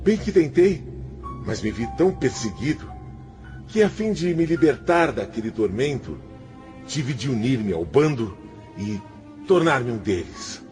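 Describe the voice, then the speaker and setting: Espírito atormentado, queixoso e muito expressivo. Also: male voice, mid-range voice, big pitch swings, unhurried, darkish tone. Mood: aggrieved pleading. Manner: theatrical, complaining, self-justifying. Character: unrepentant male suicide spirit, self-pitying, defiant former tormentor. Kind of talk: radio drama acting. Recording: music under the voice.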